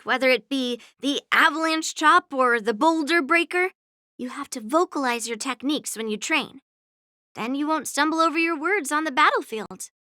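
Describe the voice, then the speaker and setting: A woman's voice: high voice, bright, forceful tone, no echo, studio quality. A woman playing a brash, earnest young swordswoman in a game, blunt but girlish, speaking in a declarative, matter-of-fact way. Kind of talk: scripted game character voice lines.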